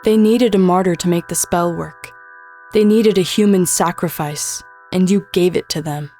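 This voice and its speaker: Actress as vengeful she-devil for audio drama: lower female register, commanding, feral menace under cool sorrow, music underneath. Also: moderate pace, warm tone, close to an everyday voice. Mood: cold fury. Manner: hissing, commanding, theatrical. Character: vengeful demon woman, the devil's daughter, feral yet coolly sorrowful.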